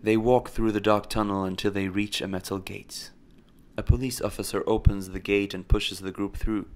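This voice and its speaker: Low-pitched, solemn male story narrator. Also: level tone, normal speed. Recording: faint noise and ambience behind.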